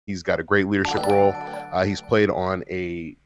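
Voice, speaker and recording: A man co-hosting a sports podcast, giving his opinions in a measured way with fillers. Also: deep voice, little pitch movement, over music. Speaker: podcast co-host. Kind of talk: casual sports talk.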